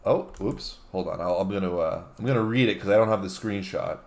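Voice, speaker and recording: A man with a fairly low voice talks casually as a guest on a comedy show. He reads posts aloud and explains them haltingly, sometimes apologetically. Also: a little mellow. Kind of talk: casual banter, reading out tweets.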